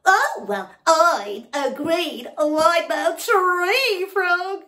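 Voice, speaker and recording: A woman voicing a noisy, friendly little male frog who shouts everything in a high voice. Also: big pitch swings, drawn-out words, full character voice. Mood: shouting excitedly.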